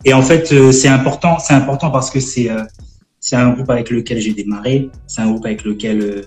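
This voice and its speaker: A man in his twenties from French Guiana, a gospel rap artist chatting on a live stream, halting with many fillers and restarts. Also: mid-range voice, steady tone, darkish tone, echoey room, faint music behind. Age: 20s. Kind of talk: casual live-stream interview.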